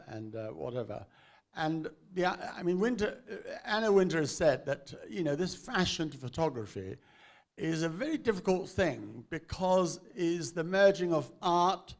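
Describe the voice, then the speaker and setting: Man with a fairly high voice asking questions. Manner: hesitant, stammering questions. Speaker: interviewer. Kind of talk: onstage interview. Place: event hall with live audience.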